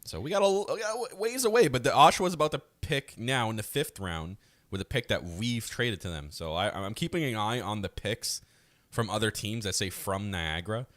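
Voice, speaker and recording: A man's voice: fairly low pitch, expressive, fairly quick, a bit bright, no echo. A man chatting casually as a co-host and analyst on a hockey podcast. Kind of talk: casual sports podcast chat.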